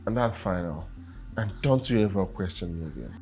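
A man's voice: sits low, animated, normal speed, a little mellow, husky, faint music behind, heavily acted. An actor playing an arrogant husband who mocks and dismisses his wife, often stammering when agitated. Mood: scornful.

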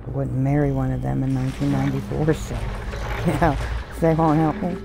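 A middle-aged woman streaming a game from home on a desk mic, talking softly in meandering, complaining asides. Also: a bass voice, level tone, normal speed, creaky, noisy background. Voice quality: soft voice. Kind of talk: rambling casual commentary. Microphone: desk mic with headphones. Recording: loud game music behind voice. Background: game music.

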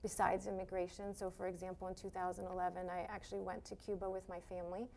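A middle-aged woman, an art instructor, answering interview questions in a measured, hesitant way on a TV studio set. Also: fairly low pitch, level tone, vocal fry, darkish tone, a little hiss or hum.

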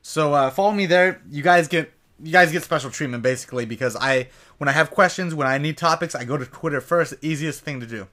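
A young man giving casual live commentary over his own gameplay, talking straight to his viewers, his voice a bit raspy from long sessions. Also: a little high, a little fast, pushed and bright. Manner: chatty, casual, addresses viewers directly.

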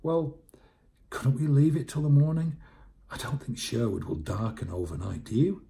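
A man's voice: mid-range voice, normal speed, vocal fry, mellow, pitched above the performer's own voice, natural voice. A man voicing an anxious, peeved man in a storybook reading, urgent and questioning in manner. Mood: anxious, doubtful. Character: anxious, peeved man on a secret night errand.

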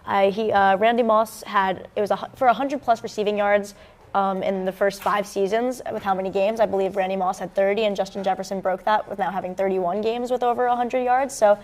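Fast-talking young American woman talking sports. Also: mid-range voice, fairly even intonation. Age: young adult, early 20s. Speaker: student sports show co-host. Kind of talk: conversational sports commentary. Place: indoor campus TV studio at a desk. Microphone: lapel mic.

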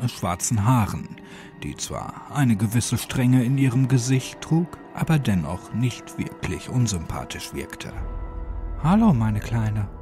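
A man's voice: medium pitch, warm tone. A man reading a scripted horror audiobook as its narrator. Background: music.

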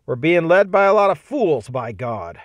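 A man voicing an angry, frustrated young male soldier in a read-aloud story, with loud, exasperated outbursts. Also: medium pitch, animated, fairly quick, no room sound, close to an everyday voice. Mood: indignant.

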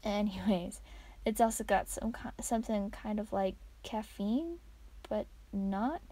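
A woman playing a friendly, absent-minded part-dragon traveler in an ASMR roleplay, soft-spoken, chatty and musing. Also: mid-range voice, a little slow, natural voice. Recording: faint noise, clicking sounds, outdoors.

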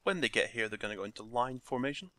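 A man with a croaky voice talking through a game scripting tutorial step by step from his desk. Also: mid-range voice, fast, slight background noise. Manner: relaxed step-by-step explaining. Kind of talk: screen-recorded tutorial narration.

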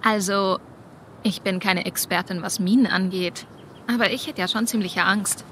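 An actress as a wry, friendly young woman in a narrative game. She talks casually and dryly in a medium-pitched voice, as if thinking aloud or chatting. Faint ambience sits behind her. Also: steady tone, normal speed, fairly bright tone, slight background noise, close to an everyday voice. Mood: friendly, warm. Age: young adult. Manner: dry, casual. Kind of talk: naturalistic video game dialogue and inner monologue.

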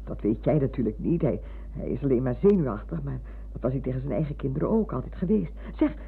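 An actor playing a chatty, opinionated elderly parent in a radio play, gossiping and meandering. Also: male voice, medium pitch, animated, quick pace, vocal fry, a little hiss or hum. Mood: gossipy. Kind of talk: Dutch radio play acting. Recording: muffled phone-like old radio recording.

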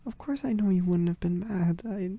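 A young woman, crying, speaking softly and haltingly in a girlfriend comfort roleplay, apologizing to the listener between whispers. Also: fairly low pitch. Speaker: audio roleplay performer.

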